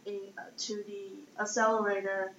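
A woman's voice: mid-range voice, flat delivery, normal speed, a bit bright. A woman in her twenties with a Chinese accent, answering interview questions conversationally as a startup founder. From Shanghai, China. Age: 20s. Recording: echoey room, dull sound, faint noise.

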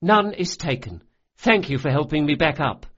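Man acting tearful little initiate boy, high-pitched. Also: lively intonation, moderate pace, fairly bright tone, slightly rough, dull audio. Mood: meek. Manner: sobbing, pleading, apologetic. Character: small young initiate boy, tearful, meek, feigning distress.

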